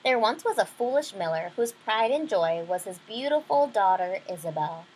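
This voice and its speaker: A woman's voice: mid-range voice, lively intonation, normal speed, darkish tone, breathy edge, low-quality recording. A woman teacher doing a storybook read-aloud for young children, explaining things simply and checking in with questions.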